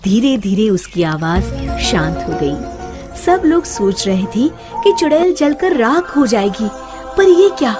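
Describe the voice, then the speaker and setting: A woman's voice: on the high side, sing-song, measured pace, over music. A woman narrating a scripted cartoon story as its storyteller. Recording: noticeable background noise.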